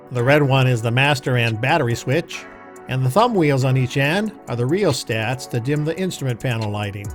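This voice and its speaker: A man narrates as a pilot in a scripted, explanatory style. His delivery is measured and instructional, at an average pitch, with music clearly underneath. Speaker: pilot and narrator of own flight video. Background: music behind the voice.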